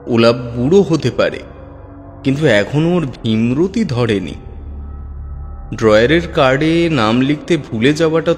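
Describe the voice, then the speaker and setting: A man narrating a first-person horror story in a measured, suspenseful manner. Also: medium pitch. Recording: long hall reverb with music. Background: faint background music.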